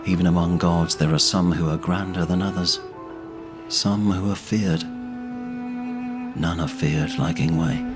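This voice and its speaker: A man narrates a fantasy audio drama in a very low voice. His storytelling is solemn and slow, each phrase set apart, with clear music behind him. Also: fairly even intonation.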